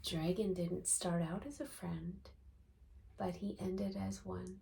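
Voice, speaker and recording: A woman reading a picture book aloud to young children as an expressive, dramatic storyteller, swinging between hushed and booming delivery. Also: slow pace, vocal fry, slight background noise.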